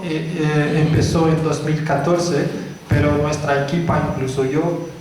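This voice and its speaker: A middle-aged Portuguese-accented man presents at a conference, his voice sitting at a mid pitch. He pauses often, repeats words and fills gaps with hums as he searches for words outside his native Portuguese. The microphone sound rings through a big, very reverberant hall over a faint hiss. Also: darkish tone. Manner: hesitant, halting, with many fillers and restarts. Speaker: company founder giving a talk. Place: large echoey hall. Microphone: handheld microphone. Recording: very echoey hall with faint noise.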